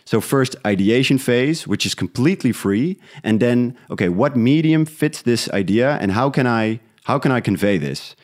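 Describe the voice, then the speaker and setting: A man's voice: mid-range voice, animated, moderate pace, hardly any pauses. A man from the Netherlands talking casually and reflectively as an interview guest about creative work.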